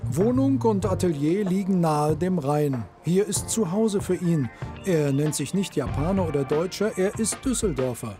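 A man reading a scripted TV report narration in a smooth, measured way. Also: high voice, expressive. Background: soft music.

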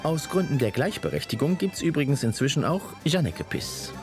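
A man's voice: fairly high pitch, very animated, fairly quick, music in the background. A man reading a scripted voice-over narration for a television travel and cooking show.

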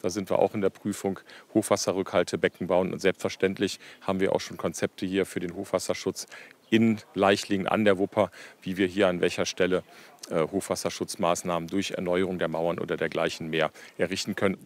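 A man's voice: sits low, little pitch movement, a little fast. A man giving a formal interview statement in bureaucratic phrasing.

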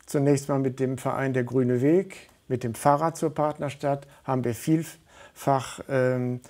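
A middle-aged or older man is a guest in a studio interview. His timbre is dark and relaxed as he gives his answers as a volunteer organiser. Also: medium pitch, unhurried.

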